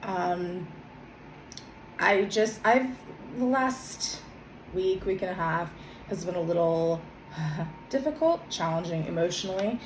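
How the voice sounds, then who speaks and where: A woman, a little hoarse from a cold, talking gently with many pauses and self-corrections, then reading her own journal. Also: fairly low pitch, expressive, roomy sound, slight background noise, rough recording. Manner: soft, hesitant, many ums and self-corrections. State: slightly hoarse from a summer cold. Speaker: vlogger.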